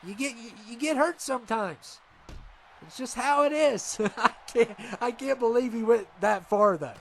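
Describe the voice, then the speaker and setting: A middle-aged man commentates a video game wrestling show with a stuffed-up nose. His voice sits rather high, and he rambles excitably, piling filler on filler. A faint background hum runs under everything. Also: normal speed, gravelly.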